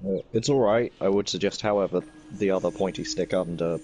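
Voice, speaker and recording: A man's voice: deep voice, fairly quick. A man casually explaining game mechanics to friends, laid-back and patient. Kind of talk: casual gaming chat. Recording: game music behind.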